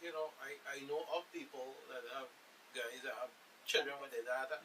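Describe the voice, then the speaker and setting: A man on a radio panel chatting casually in a halting, anecdotal way. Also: mid-range voice, steady tone, pushed and bright, recorded from a distance, low-quality recording. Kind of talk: casual chat.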